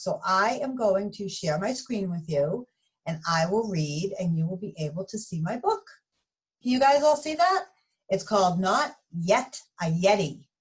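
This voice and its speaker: A woman reads a picture book aloud for young children. Her mid-pitched voice is highly animated, and she speaks to her listeners directly and expressively. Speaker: story reader for young children.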